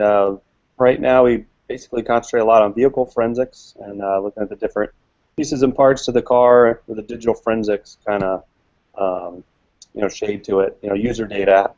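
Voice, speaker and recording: A man answering interview questions as a guest expert, relaxed and full of fillers. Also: lowish voice, fairly quick. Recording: dull lo-fi webcast audio.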